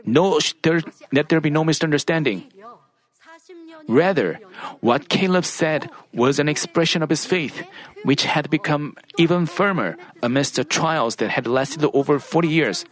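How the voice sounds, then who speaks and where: A preacher giving a sermon in a deliberate, measured way, often pausing to correct a phrase mid-sentence. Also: medium pitch. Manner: measured, deliberate delivery with frequent self-corrections.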